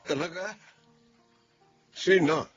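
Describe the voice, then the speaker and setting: A man's voice: fairly high pitch, slow. An actor playing a curious, blunt middle-aged father questioning his son in a radio drama. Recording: muffled radio-play recording with faint music.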